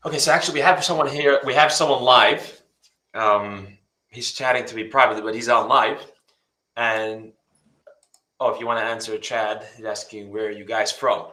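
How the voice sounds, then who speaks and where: Man from New York, live co-host reading out chat, bright forceful tone, echoey room. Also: medium pitch, steady tone, moderate pace. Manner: relays viewer messages in a loose, filler-heavy way. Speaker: co-host reading viewer questions.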